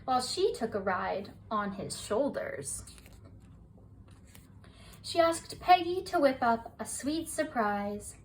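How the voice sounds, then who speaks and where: High-voiced woman reading bedtime rhymes. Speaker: storybook reader. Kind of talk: bedtime story read-aloud. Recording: distant mic, faint hiss.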